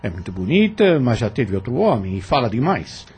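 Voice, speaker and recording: An actor playing an arrogant, menacing plantation overseer in a radio drama, sneering and domineering, with a husky voice. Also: male voice, mid-range voice, sing-song, moderate pace, darkish tone. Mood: threatening.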